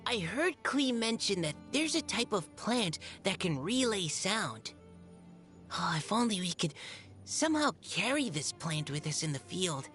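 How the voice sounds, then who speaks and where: Video game scout, young female: timid, polite, earnest, gentle delivery over background music. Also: medium pitch, big pitch swings, a little slow. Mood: warm, grateful, then earnest, focused. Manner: hesitant, soft-spoken, polite, earnest. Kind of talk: video game character voice lines.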